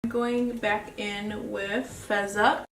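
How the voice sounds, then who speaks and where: Woman, Mexican accent, slow casual talk, some distance from the mic, faint hiss. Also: mid-range voice, flat delivery, roomy sound. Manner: casual, chatty, trailing off while working. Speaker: beauty vlogger. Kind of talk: makeup tutorial talk.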